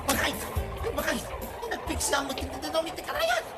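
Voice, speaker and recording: A woman's voice: high voice, big pitch swings, fairly quick, loud music behind the voice, over a noisy crowd. A woman acting a panicking villager calling out in a storm, in a comedy audio drama with an Ilocano accent. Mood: panicked.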